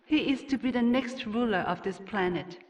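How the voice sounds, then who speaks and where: A woman's voice: a little high, close to an everyday voice. A woman playing a stern, regal ruler, distant. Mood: cool, commanding. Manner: formal, measured, commanding. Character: stern, regal ruling noblewoman and mother. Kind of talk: scripted video game cutscene acting.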